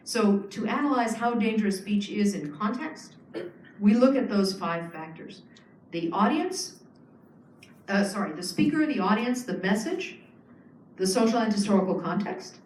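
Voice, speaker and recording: A woman's voice: medium pitch, animated, moderate pace, a little mellow, far from the mic, slight background noise. A woman giving a public lecture, explaining earnestly with frequent fillers and restarts. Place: lecture hall. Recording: echoey hall, speaker off-mic.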